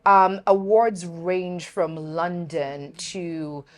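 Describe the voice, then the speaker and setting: A woman from Uganda hosting a casual interview, lively and laughing, calling her viewers family. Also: on the low side, very slow. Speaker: host.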